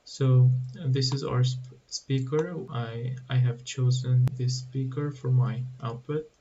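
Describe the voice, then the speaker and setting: A man talking through a coding tutorial at a slow, hesitant pace, his voice flat and mellow. The recording is dull and a little muffled with faint background hiss. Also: medium pitch. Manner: slow, halting explanation with restarts. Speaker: programming tutorial host. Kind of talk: screen-recorded coding walkthrough.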